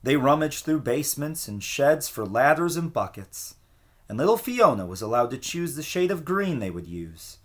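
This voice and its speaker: A man reading a children's picture book aloud as a teacher, with an expressive storytelling cadence. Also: medium pitch, normal speed.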